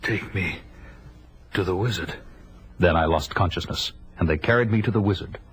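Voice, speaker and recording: A man's voice: low voice, normal speed, slight background noise. A man playing a brooding barbarian warrior, solemnly telling his tale of vengeance in a comic adaptation. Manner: grave, solemn, portentous. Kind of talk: dramatic first-person storytelling for a comic adaptation. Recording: loud film score behind.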